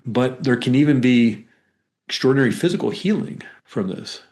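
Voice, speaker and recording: A man speaking as an interview guest, a hypnotherapist explaining his work in a rambling, conversational way with repeated words and halting phrases. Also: mid-range voice, lively intonation, a little mellow.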